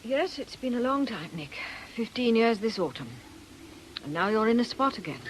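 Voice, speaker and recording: A woman's voice: on the high side, big pitch swings, moderate pace, a little hiss or hum, poor audio. A woman playing an ailing, middle-aged fortune teller in a radio drama, scornful and theatrical. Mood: wry, weary. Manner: world-weary, scornful, declamatory.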